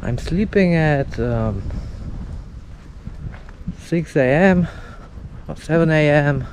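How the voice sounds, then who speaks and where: Slow-talking young man, windy outdoors. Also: fairly high pitch, animated, darkish tone. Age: young adult. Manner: halting, simple phrasing with pauses. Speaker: walking vlogger. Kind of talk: casual walking vlog chat. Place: outdoors, suburban street and park path. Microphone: action camera at arm's length, no windscreen. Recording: windy outdoor camera mic, street noise.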